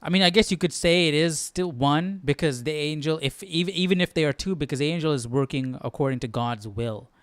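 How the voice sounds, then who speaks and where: A man hosts a casual Bible livestream, wondering aloud and posing questions. His voice is a touch high, smooth and clean, recorded very dry. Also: fairly quick, few pauses, dry recording. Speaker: host of a live Bible study.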